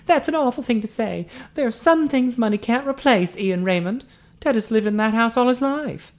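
A narrator voicing a soft-spoken young woman, sympathetic, teasing and protective, in a story reading. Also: mid-range voice, normal speed, pitched above the performer's own voice, close to an everyday voice. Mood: scolding.

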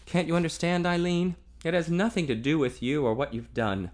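A man voicing a confused, bitter young man in a read-aloud romance novel, speaking in a halting way. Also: on the high side, fairly even intonation, voice pushed up, natural voice. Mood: troubled.

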